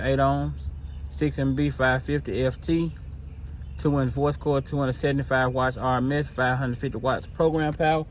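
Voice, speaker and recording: A man hosting a product video, talking casually and informally to his viewers in a laid-back way. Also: medium pitch. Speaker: host of car audio product video. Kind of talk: casual product demo talk. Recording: clear background noise.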